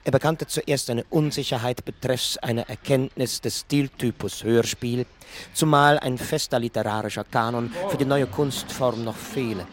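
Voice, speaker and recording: An actor playing a formal reporter who reads out minutes in a precise, factual way. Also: male voice, medium pitch, moderate pace, slight background noise, lightly acted. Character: formal reporter reading conference minutes aloud. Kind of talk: formal documentary reading.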